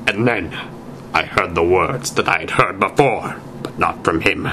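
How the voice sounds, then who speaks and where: A man's voice: low voice, measured pace, pushed and bright, a little hiss or hum. A man narrating fan fiction aloud in a theatrical reading style.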